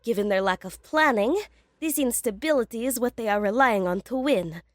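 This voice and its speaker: A woman's voice: a little high, normal speed, dry recording, studio quality, close to an everyday voice. A woman playing a coolly analytical profiler in an audio drama, formal, precise and methodical as she lectures. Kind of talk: fan audio drama acting.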